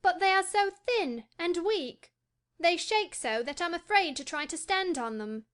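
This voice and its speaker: A woman plays a frail young boy in a storybook reading, his voice pitched high. He is excitable and imperious, speaking like a little invalid used to being obeyed. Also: measured pace, heavily acted. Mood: anxious. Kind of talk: audiobook character voice.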